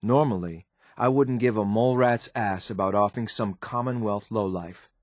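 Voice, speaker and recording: An actor playing a cold, loyal synthetic enforcer in a video game, speaking in a clipped, formal, deadpan and disdainful way. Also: male voice, on the low side, normal speed, dry recording, studio quality, natural voice. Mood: cool, controlled. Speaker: video game companion character. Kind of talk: scripted game voice lines.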